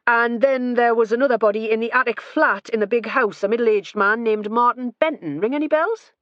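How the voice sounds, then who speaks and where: A woman's voice: fairly high pitch, a little fast, no room sound, dull audio, voice pushed up, close to an everyday voice. A woman voicing a forthright, blunt middle-aged police inspector in an audiobook.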